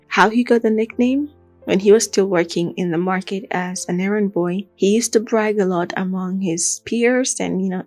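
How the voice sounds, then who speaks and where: A woman with a Nigerian accent hosting a true crime podcast, telling the story casually and chattily, with animated asides. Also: medium pitch, no room sound. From Akwa Ibom, southern Nigeria.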